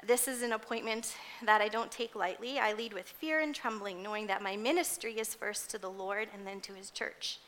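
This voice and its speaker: A woman's voice: medium pitch, steady tone, normal speed, hardly any pauses, a bit bright. A woman in her forties giving a personal, informal sermon as a church music leader, speaking in an earnest, conversational and somewhat hesitant way. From grew up in Indonesia as a missionary kid, later moved to Canada. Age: 40s.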